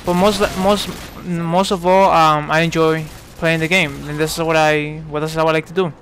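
A man's voice: fairly high pitch, flat delivery, moderate pace, a bit bright, a little hiss or hum. A man in his twenties from New York giving casual, rambling commentary over gameplay, full of fillers and restarts. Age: 20s. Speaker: gaming commentator.

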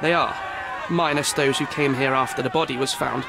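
An actor playing a harried, defensive city hall official in a video game, speaking formally and precisely. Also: male voice, medium pitch, steady tone, moderate pace, creaky, a crowd in the background, background noise, close to an everyday voice. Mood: resigned, explanatory. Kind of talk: video game cutscene acting.

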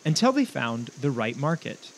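A man reading a scripted news voice-over in a measured, steady manner. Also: mid-range voice, vocal fry, rough recording. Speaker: news narrator.

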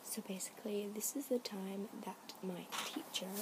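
A woman's voice: medium pitch, steady tone, moderate pace. A young woman whispering a rambling, giggly story to her camera as a vlogger. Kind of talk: whispered ASMR story time. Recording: noisy home recording.

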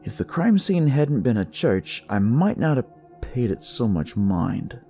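A man playing a jaded, crude police detective, narrating his noir story in a wry, world-weary, conversational way with a gravelly, husky voice. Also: mid-range voice, very animated, unhurried, mellow, faint music behind. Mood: wry, confiding. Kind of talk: noir first-person scripted narration.

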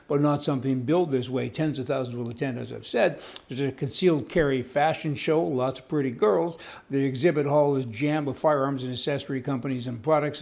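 Folksy gravelly older man. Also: medium pitch, a little mellow. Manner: folksy, indignant, rambling asides. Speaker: commentary host. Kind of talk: opinionated news commentary to camera. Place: indoor studio set with wood-panel wall.

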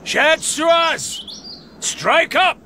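An actor playing a gallant, wry, commanding outlaw in a radio drama. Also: male voice, very high voice, drawn-out words, sharp tone, a little hiss or hum, exaggerated voice. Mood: wry, bold.

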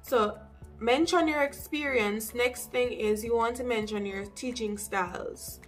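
A young woman with a Jamaican accent giving casual, direct advice to camera as an English teacher, with lively asides. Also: on the high side, unhurried, faint music behind. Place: small room indoors.

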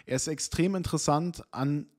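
Casual German-speaking streamer, relaxed. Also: male voice, a little high, normal speed. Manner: reads text aloud, then comments in loose asides with fillers. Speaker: streamer reading and reacting to an interview. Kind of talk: casual chat, reading an article aloud. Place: small room indoors.

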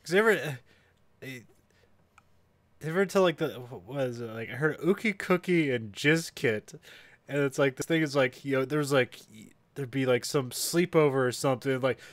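A man co-hosting a podcast who sounds a little stuffy, talking in halting bursts full of fillers. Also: mid-range voice, no room sound. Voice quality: sounds stuffy, as if he has a cold. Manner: halting, filler-heavy, quick to laugh. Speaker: podcast co-host. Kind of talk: casual banter.

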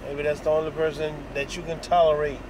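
American guy in his 50s talking blunt and casual, swears a lot, kinda high voice, clear car noise behind him. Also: flat delivery, fast talker. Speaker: vlogger. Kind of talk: casual opinion monologue to camera. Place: inside a parked or moving vehicle cab. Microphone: phone at arm's length. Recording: phone recording with clear vehicle noise. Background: car and air conditioning hum.

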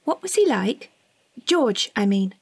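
A woman's voice: medium pitch, sing-song, a little slow, breathy edge, no room sound, exaggerated voice. An actress playing an inquisitive woman who interviews a war veteran in a radio drama, conversational and curious.

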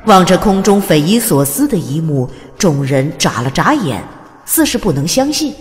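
A woman's voice: fairly low pitch, big pitch swings, moderate pace, noisy background. A woman narrating a fantasy audiobook in a dramatic, scripted storytelling style. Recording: long reverb over music. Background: music.

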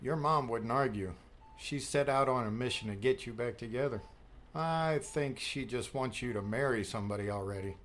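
A man's voice: mid-range voice, moderate pace, a bit bright, close to an everyday voice. Protective dad played by a male actor, middle-aged, affectionate with dry wit, audiobook drama, slight background noise. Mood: caring, curious. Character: protective, wryly teasing, affectionate father of a grown daughter.

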